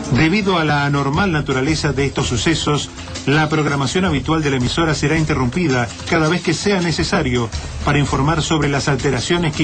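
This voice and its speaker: Casting: man playing a sober Argentine radio news anchor, slightly high voice, measured and official, faint music bed. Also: natural voice. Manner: formal, measured, authoritative. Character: sober male radio newsreader giving emergency bulletin. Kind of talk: scripted news bulletin reading.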